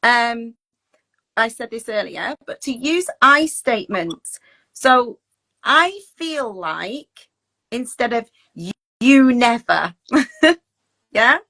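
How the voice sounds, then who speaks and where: A woman's voice: on the high side, big pitch swings, takes it slowly, pauses often, bright, forceful tone. A woman coach talking through advice in a chatty, conversational, reassuring way, acting out example phrases as she goes. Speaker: teacher well-being coach and host. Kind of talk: informal advice talk, conversational.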